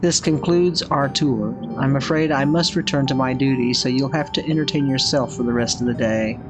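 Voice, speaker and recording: A woman's voice: extremely low pitch, steady tone, normal speed. An older woman voicing a haughty, grudging female cousin in a visual novel, clipped, dry and dismissive, with music behind. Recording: background music under the voice.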